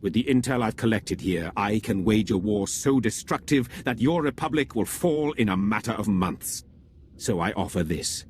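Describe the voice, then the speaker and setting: An actor playing a proud, zealous enemy general in a video game, speaking in a measured, haughty, oratorical way. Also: male voice, on the low side, expressive, darkish tone, slight background noise, close to an everyday voice. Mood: persuasive, zealous. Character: proud, zealous male Imperial general, cold villain. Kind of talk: video game villain monologue.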